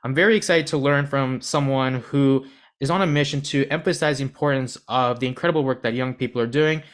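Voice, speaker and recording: A man's voice: medium pitch, brisk, clean tone. A young American man hosting a podcast, earnest and rambling, often restarting his sentences. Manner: earnest, rambling, self-deprecating. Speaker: podcast host. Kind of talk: podcast interview.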